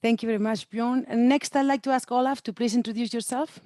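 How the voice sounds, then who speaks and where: A woman's voice: on the high side, fairly even intonation, quick pace, mellow, no echo, studio quality. A woman moderating an online event in an informal, chatty, encouraging way. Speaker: webinar moderator. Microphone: computer mic on video call.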